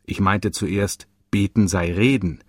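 A man solemnly reading quoted texts in a slow, earnest way. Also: deep voice, steady tone, no echo, clean studio sound. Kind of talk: solemn scripted recitation.

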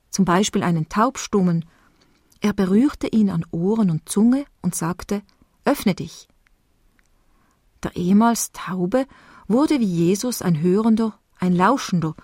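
A woman from Basel with a Swiss German accent, calmly reading a meditative sermon. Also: mid-range voice, dry recording, clean studio sound. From Switzerland, Basel. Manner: calm, measured, reflective reading. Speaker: preacher giving a spoken devotion.

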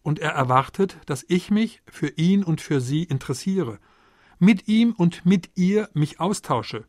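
A man preaching a measured, earnest Pentecost sermon, asking rhetorical questions as he goes. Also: fairly high pitch, animated, a little mellow, dry recording, studio quality. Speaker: preacher, theology lecturer.

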